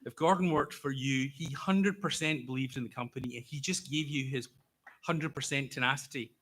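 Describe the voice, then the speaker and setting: A Scottish man in his fifties giving a prepared eulogy for his brother, speaking slowly and carefully with a wry, gently humorous storytelling manner. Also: mid-range voice. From Scotland. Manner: deliberately slow, careful delivery. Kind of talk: prepared memorial speech.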